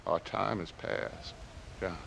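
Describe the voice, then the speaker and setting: Defeated aging outlaw boss, slow deliberate speech, philosophizing, lowish voice, dramatic game acting. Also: male voice, very animated, gravelly, fairly bright tone, full character voice, low-quality recording. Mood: resigned. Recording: faint background noise.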